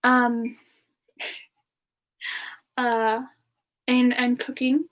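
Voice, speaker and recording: A woman playing a sweet, affectionate young female frost demon, soft-spoken and hesitant, answering questions in a semi-ASMR live stream. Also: a little high, little pitch movement, extremely slow, poor audio.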